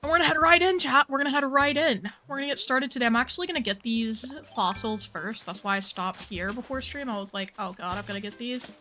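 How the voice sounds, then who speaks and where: Young female streamer, chatty and bubbly, slightly worn voice, soft game music under her. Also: on the high side, fast talker, few pauses. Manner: chatty, bubbly, says "like" a lot. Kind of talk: casual game stream chat.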